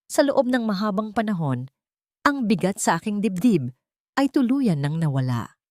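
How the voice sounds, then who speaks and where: Female narrator, low register, dry close sound, steady read for an audio drama story. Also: no room sound.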